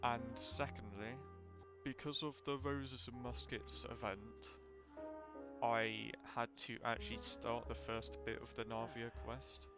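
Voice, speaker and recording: A male streamer talks casually at a slow pace in a flat, medium-pitched voice. He sounds low on energy, as someone still recovering, with music clearly behind him. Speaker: game streamer.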